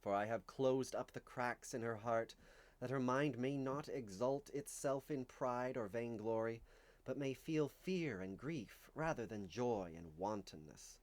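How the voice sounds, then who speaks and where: Male reader, mid-pitched voice, solemn and declamatory, for a dramatic reading of sacred visionary text. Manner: solemn, declamatory, deliberate phrasing with dramatic emphasis.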